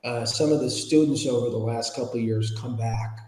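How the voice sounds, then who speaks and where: A man's voice: mid-range voice, fairly quick, a little mellow. Echoey middle-aged man, plain-spoken interview guest. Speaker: podcast guest, ethics trainer and public speaker. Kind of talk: conversational interview answers. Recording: echoey room with long reverb.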